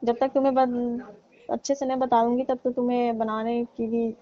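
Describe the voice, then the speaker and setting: A woman playing a flirtatious, teasing married aunty in a comedy phone-call skit, talking coyly and complaining in a drawn-out way. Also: a little high, flat delivery, natural voice. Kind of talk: staged intimate phone chat in colloquial Hindi. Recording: dull, lo-fi phone-call audio.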